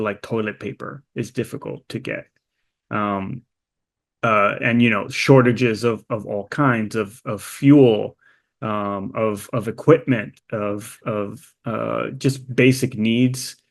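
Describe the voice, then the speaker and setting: A man's voice: lowish voice, vocal fry. A man from Miami speaking as a panel guest, often restarting and repeating words as he searches for phrasing. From Miami, United States. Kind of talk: panel discussion answer.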